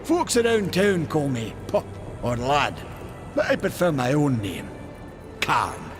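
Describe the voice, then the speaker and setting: An actor playing an eager, good-natured young maker in a fantasy video game, with a hearty, folksy manner. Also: male voice, on the high side, huge pitch swings, takes it slowly, over music, heavily acted. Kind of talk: video game cutscene acting.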